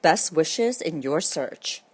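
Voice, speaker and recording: A woman's voice: deep voice, lively intonation, takes it slowly, husky, heavily acted. A woman voicing a polite, formal fire station official reading out a businesslike letter for children. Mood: formal, dismissive. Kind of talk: children's read-aloud.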